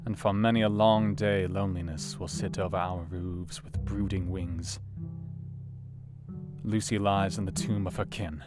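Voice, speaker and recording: Low-voiced, earnest gentleman diarist. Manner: measured, formal, literary. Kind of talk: scripted diary narration in audio drama. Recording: plucked guitar music behind the voice.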